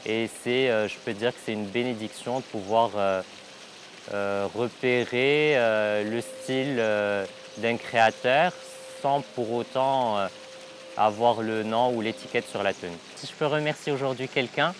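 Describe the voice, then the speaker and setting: A Moroccan fashion designer in his thirties, talking to camera in an interview outdoors by a pool, pausing often with drawn-out fillers. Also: mid-range voice, a little hiss or hum. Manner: hesitant, many drawn-out "euh" fillers. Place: outdoor hotel garden by a pool.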